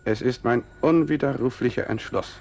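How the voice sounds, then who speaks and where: An actor playing a grave, fatalistic farmer in a German radio play, speaking with emphasis. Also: male voice, mid-range voice, normal speed, gravelly, darkish tone, lightly acted. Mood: urgent, determined. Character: resolute, fatalistic farmer convinced of coming doom.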